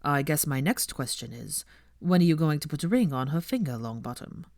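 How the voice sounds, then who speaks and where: A woman voicing a guarded but sincere young man with a hushed, halting delivery in a story reading. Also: low-pitched, lively intonation, no room sound, studio quality. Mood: teasing.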